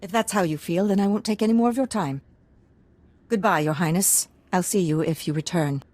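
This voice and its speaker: A woman's voice: fairly low pitch, a little fast, a little mellow, dry recording, close to an everyday voice. An actress playing a frustrated, persuasive noblewoman in a video game, speaking earnestly. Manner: earnest, urging. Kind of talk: dramatic video game acting.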